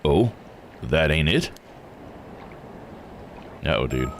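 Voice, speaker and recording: A man's voice: extremely low pitch, big pitch swings, slow pace, a little hiss or hum. A man giving live video game commentary, musing and thinking aloud as he plays. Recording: music under the voice. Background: game music.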